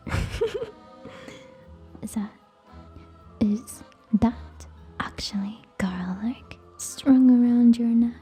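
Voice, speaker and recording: A woman playing a haughty, teasing tsundere vampire in a scripted ASMR roleplay, whispering scornfully and deliberately, close to the microphone. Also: fairly high pitch, warm tone, slightly rough, full character voice. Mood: amused, mocking.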